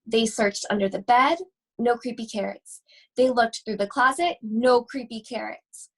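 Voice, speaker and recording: A woman reading a children's storybook aloud expressively, with deliberate, suspenseful phrasing and drawn-out words. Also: fairly high pitch, steady tone. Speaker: school library read-aloud host.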